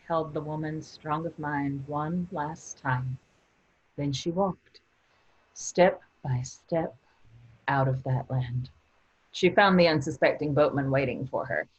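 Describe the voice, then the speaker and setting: Lady playing grieving determined heroine, husky n unhurried, stage play reading, bit lo-fi. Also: low-pitched. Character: determined grieving woman journeying through land of the dead. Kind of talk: staged reading with dramatic narration.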